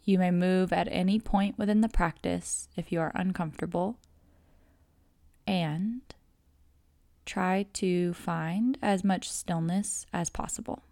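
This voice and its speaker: A woman guiding a relaxation meditation, speaking in a calm, measured, soothing way with pauses between phrases. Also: lowish voice, no echo, studio quality. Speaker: yoga nidra guide. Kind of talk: guided meditation narration.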